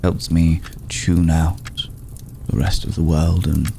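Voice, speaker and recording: A man playing an ancient, aristocratic male vampire in an ASMR roleplay, formal, courteous and brooding, with a soft, gravelly voice and deliberate pauses. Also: deep voice, flat delivery, a little hiss or hum, heavily acted. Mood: courteous, welcoming. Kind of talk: ASMR roleplay, slow intimate acting.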